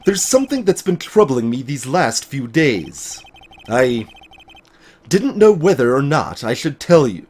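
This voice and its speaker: A man voicing a haunted, solemn game character confessing a dark memory, grave, halting and dramatic. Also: medium pitch, sing-song, a little hiss or hum. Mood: haunted, hesitant. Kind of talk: dramatic voiced reading of game dialogue.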